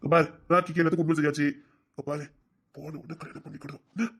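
Performer voicing a young man, possessive lover, husky, soft, hushed, coaxing, romance audio drama. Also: mid-range voice, flat delivery, racing, natural voice. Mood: pleading, insistent.

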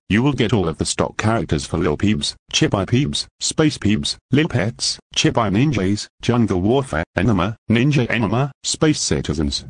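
Synthetic male co-presenter, animated intonation, dry close sound, scripted announcement read. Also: fairly low pitch, slightly rough, no echo. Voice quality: synthetic text-to-speech voice.

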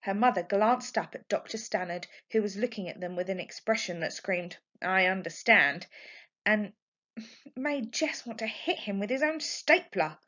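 A woman's voice: medium pitch, lively intonation, normal speed. A woman reading a children's story aloud expressively, like a teacher to a class. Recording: dull lo-fi home recording.

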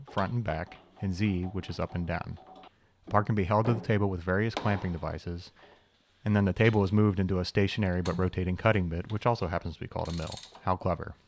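A young man with a low voice delivers a quick, scripted voice-over tour of his workshop. His humor is dry and wry, full of self-deprecating asides. A faint hiss sits under the recording. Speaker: channel host, machinist.

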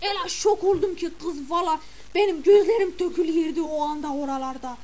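Woman doing a middle-aged village mother, very high voice, chatty, exclamatory, scolding. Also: fairly quick, a little mellow. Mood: gossipy. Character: gossiping village mother of the bride, indignant. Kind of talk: comic character monologue.

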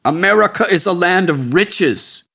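A man voicing a bitter, angry Indian sadhu in an audiobook, scornful and emphatic, with a husky voice. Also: high voice, expressive, moderate pace, bright, forceful tone, pitched above the performer's own voice, full character voice. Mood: furious. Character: bitter, angry male Indian sadhu of middle age or older.

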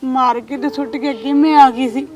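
A woman's voice: fairly even intonation, normal speed, darkish tone, lightly acted. A woman acting a distressed young married woman who cries and sounds despairing. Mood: subdued. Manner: sobbing, despairing, low subdued delivery. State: crying. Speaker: actor. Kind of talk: scripted drama acting. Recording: distant mic with faint music.

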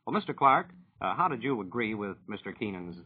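A man's voice: lowish voice, big pitch swings, fairly quick, slightly rough. An American man working as a reporter, introducing and questioning a guest in 1940s broadcast style. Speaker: radio reporter and interviewer. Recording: tinny narrowband 1940s radio line.

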